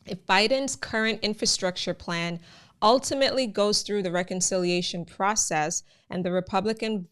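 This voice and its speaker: A Jamaican woman hosts a live immigration update in a relaxed, chatty way and keeps turning to her viewers. Her voice sits somewhat low and sounds smooth and clear. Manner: chatty, addresses viewers as guys, many fillers. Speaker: immigration news host. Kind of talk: live channel talk with viewers.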